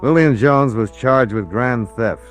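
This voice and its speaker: An actor playing a hardboiled insurance investigator, terse and matter-of-fact, narrating and acting in an old radio noir drama. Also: male voice, mid-range voice, measured pace, faint music behind, natural voice. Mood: factual narration.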